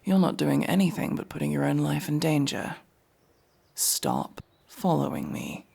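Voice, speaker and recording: A man's voice: a little high, very animated, measured pace, creaky, darkish tone, breathy edge, full character voice. A man playing an aloof, prickly elf mercenary in a fantasy ASMR roleplay, speaking in a curt, sardonic, exasperated manner. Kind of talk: ASMR fantasy roleplay, acted monologue to listener.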